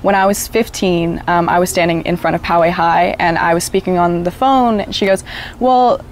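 A woman's voice: on the low side, fairly even intonation, normal speed, a little hiss or hum. Young woman talking in a reflective outdoor interview. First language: Japanese. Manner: reflective, many fillers. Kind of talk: documentary interview. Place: outdoors by a wooden wall. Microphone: lapel mic.

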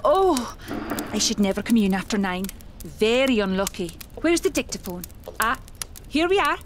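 Psychic medium, female, played by an actress: genteel, flighty, theatrical, lively high delivery for a horror audio drama. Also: normal speed, slight background noise. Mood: chatty, fussy.

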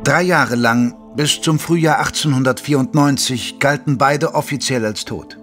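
An actor playing a gentlemanly Victorian chronicler, narrating old case records in a measured, formal, solemn manner. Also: male voice, medium pitch, lightly acted. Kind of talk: scripted dramatic narration. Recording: studio voice over prominent music.

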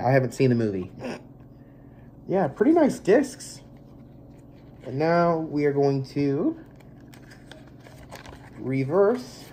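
A man's voice: on the high side, dark tone, slight background noise. A young man in his twenties, stuffy from allergies, rambling casually through a movie unboxing in a small bedroom, recorded on a close webcam mic. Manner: rambling, filler-heavy, easygoing. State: congested from allergies. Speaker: movie collector vlogger.

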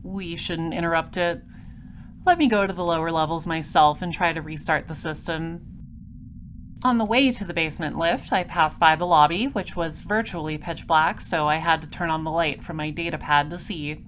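A woman plays a droll space patrol detective telling a story in an audio drama. Her voice is on the low side, composed and matter-of-fact. Faint ambience runs behind it. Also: moderate pace, a bit bright, slight background noise. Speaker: detective narrator. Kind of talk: audio drama scripted narration.

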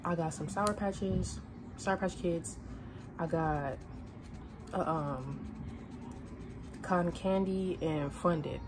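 A woman's voice: on the low side, slow, long pauses, music in the background, low-quality recording. A woman in her twenties chatting casually to her phone camera in her bedroom, laid-back and rambling, full of slang. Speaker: vlogger. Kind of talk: casual vlog chat to camera. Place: bedroom at home, indoors. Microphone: phone camera at arm's length.

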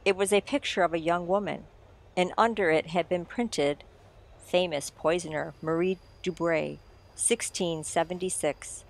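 A suave, theatrical mystery writer, acted by a woman holds the floor in a radio play. The voice sits somewhat low and moves deliberately, teasing out each detail like a storyteller. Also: steady tone, creaky. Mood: dramatic storytelling. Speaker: audio drama actor. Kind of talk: old-time radio mystery narration.

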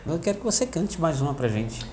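A man's voice: fairly high pitch, very animated, a little fast, mellow, a little hiss or hum. A man, hosting a casual interview show on a lapel mic. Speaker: talk show host. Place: small indoor room. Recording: echoey small room.

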